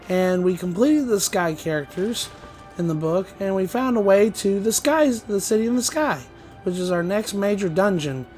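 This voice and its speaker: A man with a slightly hoarse, groggy voice giving loose, rambling let's play commentary. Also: high voice, darkish tone. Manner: rambling, loose, with many fillers and restarts. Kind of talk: casual gameplay commentary.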